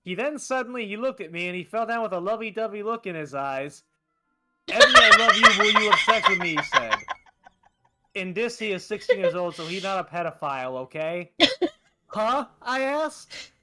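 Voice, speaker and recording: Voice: medium pitch, animated, normal speed, loud music behind the voice. A theatrical, amused reader giving a comedic reading of fan fiction, often breaking into laughter and dropping in asides.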